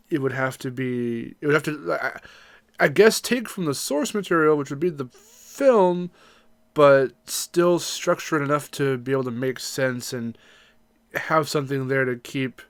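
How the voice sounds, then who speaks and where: A man's voice: medium pitch, measured pace, clean tone, dry recording. A man co-hosting a podcast in a casual, rambling way, often hesitantly rephrasing his thoughts. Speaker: podcast co-host.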